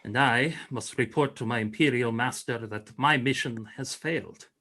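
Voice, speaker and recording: A man's voice: mid-range voice, moderate pace, bright, forceful tone. A man playing a courtly Russian frigate commander in a radio play, formal, gracious and grand in manner. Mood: grave, courteous. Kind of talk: radio play acting, 1940s style.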